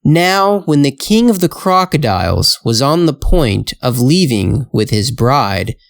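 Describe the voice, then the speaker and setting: A male storyteller reads a fairytale very slowly for learners. His phrasing is careful and measured, his pitch sits in the middle, and the sound is dry and close. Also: very animated, creaky, no echo. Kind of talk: slow scripted fairytale narration for English learners.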